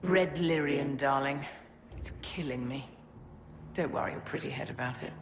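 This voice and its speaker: An actress playing a haughty, elegant sorceress in a video game, imperious and coldly sardonic. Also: female voice, very deep voice. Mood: weary, grave. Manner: imperious, condescending, measured. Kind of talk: dramatic video game cutscene acting. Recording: echoey, clearly noisy background.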